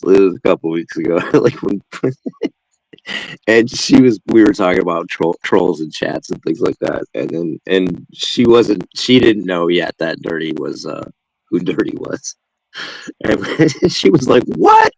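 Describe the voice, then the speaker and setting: Low gravelly man, nasal and laughing. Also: lively intonation, unhurried, no room sound, rough recording. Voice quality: nasal, whiny. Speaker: livestream co-host. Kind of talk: casual chat.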